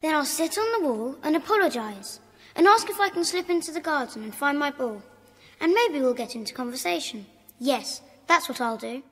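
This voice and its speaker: Polite schoolchild, piping high pitch, sharp tone, apologetic, children's drama. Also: female voice, expressive, normal speed, faint music behind. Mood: nervous, polite. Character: polite, hesitant schoolchild in a secret club. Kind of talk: children's audio drama acting.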